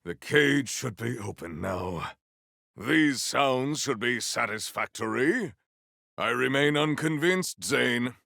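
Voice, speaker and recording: An actor playing a noble, earnest warrior hero in a video game, speaking in a pompous, formal and grandiose way. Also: male voice, mid-range voice, lively intonation, takes it slowly, vocal fry, sharp tone, no echo, clean studio sound, full character voice. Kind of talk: video game character voice acting.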